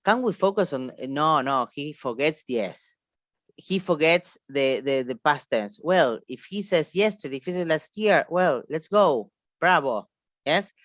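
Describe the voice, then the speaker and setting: A woman's voice: low-pitched, animated, measured pace, no room sound, clean studio sound. A woman with an Argentine Spanish accent presenting a teacher-training seminar, speaking spontaneously and emphatically, repeating phrases and checking in with short questions. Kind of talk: online seminar lecture, spontaneous.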